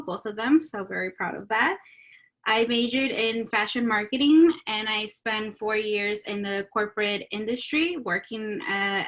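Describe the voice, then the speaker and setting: Bright-toned New York-raised woman. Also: mid-range voice. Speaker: content creator, panelist. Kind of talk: panel self-introduction. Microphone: video call.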